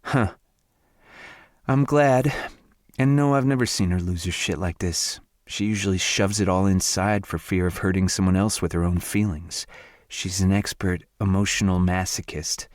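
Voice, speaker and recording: A man's voice: sits low, fairly even intonation, moderate pace, no room sound, natural voice. A man doing a blunt, sardonic young woman. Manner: dry, sardonic, firm.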